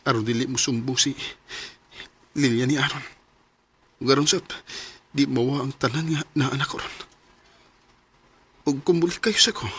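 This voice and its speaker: A man's voice: medium pitch, a bit bright, breathy edge. A male actor with a Cebuano accent playing a conflicted young business owner in a radio drama, speaking hesitantly. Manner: hesitant, halting. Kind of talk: Philippine radio drama acting.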